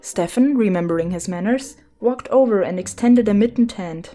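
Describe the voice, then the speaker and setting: A woman's voice: mid-range voice, normal speed, dark tone. A woman reading a children's story as its narrator, in a scripted storybook style. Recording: music behind the voice.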